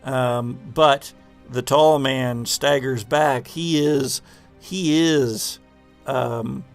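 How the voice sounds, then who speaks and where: Slow, halting man narrating a horror game. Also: mid-range voice, steady tone, creaky, soft background music. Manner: halting, pauses mid-sentence for dramatic description. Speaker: game master and host.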